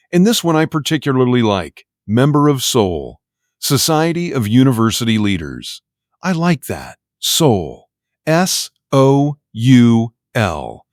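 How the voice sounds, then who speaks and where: A man playing a pompous, prejudiced university interviewer in an audio play, formal, deliberate and condescending. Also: medium pitch, no room sound, clean studio sound, lightly acted. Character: pompous, prejudiced middle-aged male university job interviewer. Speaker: university official interviewing a job applicant.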